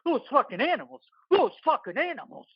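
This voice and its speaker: A man playing a wounded, hulking biker in an audio play, husky and bitter, swearing through gritted delivery. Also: extremely high pitch, big pitch swings, brisk, dry recording, lo-fi sound, full character voice. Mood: enraged. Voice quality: husky, rough. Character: wounded, hulking, foul-mouthed male biker, gruff and bitter.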